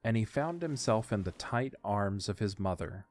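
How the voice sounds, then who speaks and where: A man narrates a scripted story. His voice sits fairly low. Music runs behind the narration. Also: expressive, normal speed, darkish tone.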